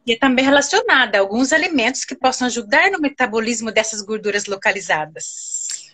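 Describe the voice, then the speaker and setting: A woman's voice: a little high, lively intonation, fairly quick, bright, forceful tone. A woman with a Brazilian accent, hosting a live online talk in a conversational style. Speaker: host and committee co-leader.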